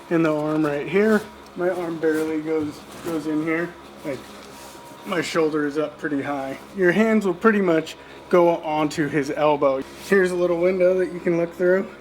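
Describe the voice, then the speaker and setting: A man's voice: a little high, a little hiss or hum, poor audio. A man giving a casual how-to demonstration as a costume vlogger.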